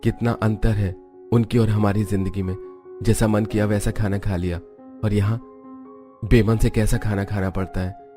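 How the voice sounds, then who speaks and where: A man's voice: lowish voice, level tone, normal speed, music in the background, lightly acted. A man voicing a weary, hardworking poor labourer devoted to his family in a cartoon drama. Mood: resigned, wistful.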